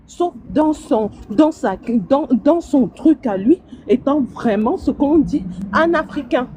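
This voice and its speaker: A woman's voice: high-pitched, noisy background. A woman calling into a live stream from outdoors on the street, arguing hard and stumbling over repeated words as she makes her point. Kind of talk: heated casual debate.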